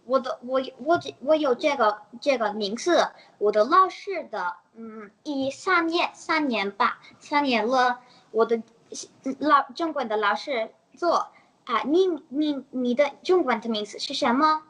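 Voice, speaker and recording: A girl in her early teens from the United States, chatting in an interview and often pausing to search for words. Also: high-pitched, lively intonation, fairly bright tone, low-quality recording. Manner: halting, searching for words, giggly. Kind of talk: casual multilingual chat.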